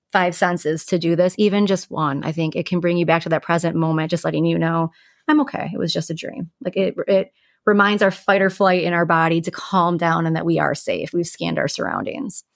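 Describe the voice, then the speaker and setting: A woman speaks in a fairly low register, recorded dry with no room sound. She talks as a podcast co-host, offering conversational advice. Her delivery is informal and explanatory, moving through small everyday examples. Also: vocal fry, dry recording. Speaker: podcast co-host giving sleep tips.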